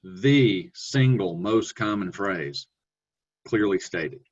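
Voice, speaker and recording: A man presenting a webinar on online teaching, talking in a relaxed, conversational way with fillers and self-corrections. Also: takes it slowly, husky. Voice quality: deep voice. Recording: dull lo-fi webinar audio.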